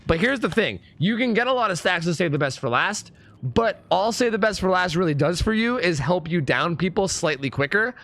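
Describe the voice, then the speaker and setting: A young man with a hoarse, partly lost voice, chatting casually as a gaming YouTuber explaining his build. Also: sits high, expressive, a bit bright. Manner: chatty, fast and filler-heavy. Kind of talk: casual gameplay commentary.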